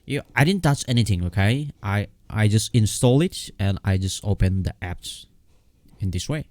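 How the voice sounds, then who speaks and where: A man who is not a native English speaker gives a casual step-by-step software tutorial in a halting, repetitive way. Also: lowish voice, expressive, dry recording. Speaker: tutorial host.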